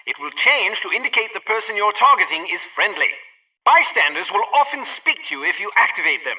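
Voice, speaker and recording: A man's voice: high-pitched, big pitch swings, fairly quick, recorded from a distance, highs cut off. A man playing a prim, helpful gadget instructor in a spy game, giving formal and precise scripted tutorial instructions. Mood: instructive. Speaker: training instructor.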